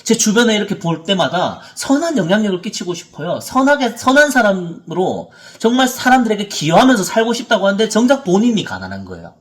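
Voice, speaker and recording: A man in his thirties speaks as a motivational presenter at a seminar. His voice sits on the high side and moves in very lively swings of pitch. He tells his story earnestly, leaning hard on key words. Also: brisk, hardly any pauses. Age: 30s. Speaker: motivational speaker, author and coach.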